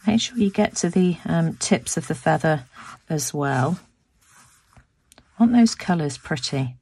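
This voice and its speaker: Croaky low-voiced woman doing a chatty craft tutorial, husky, dry close sound. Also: animated, unhurried, a little mellow, no echo. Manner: chatty, thinking aloud with restarts. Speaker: craft video host. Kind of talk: casual craft tutorial narration. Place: home craft room, tabletop.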